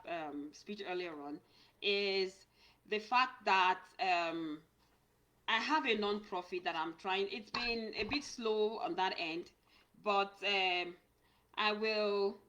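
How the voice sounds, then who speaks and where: A woman's voice: medium pitch, fairly bright tone. A woman who works as an immigration consultant, giving earnest advice on a live stream and often repeating or restarting words as she speaks. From Nigeria. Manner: earnest, repeats and restarts words, reads viewer comments aloud. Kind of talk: live-stream talk answering viewers.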